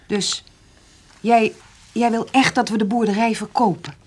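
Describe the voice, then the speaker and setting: An actress playing an anxious, stubborn middle-aged farmer's wife and mother, speaking haltingly with a husky, breathy voice in a radio drama. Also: on the high side, fairly even intonation. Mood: reproachful. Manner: halting, repeating words, fretful.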